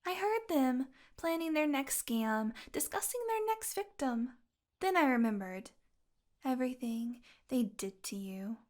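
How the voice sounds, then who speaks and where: A woman playing a possessive fantasy healer in an audio roleplay, tender and secretly bloodthirsty, speaking softly and deliberately. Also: sits high, lively intonation. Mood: confessing, cold. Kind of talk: intimate audio roleplay monologue.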